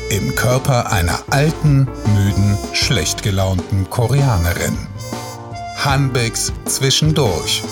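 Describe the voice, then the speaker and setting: A slow, unhurried announcer voice reading a deadpan intro. Clear instrumental music plays under it throughout, like a show opener. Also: medium pitch. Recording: narration over clear music.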